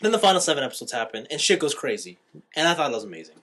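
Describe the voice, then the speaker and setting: A male podcast host chatting casually about anime. His voice swings widely in pitch as he gripes and argues his opinions. He sounds exasperated and swears casually. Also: sing-song, rapid-fire, fairly bright tone. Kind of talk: casual anime review chat.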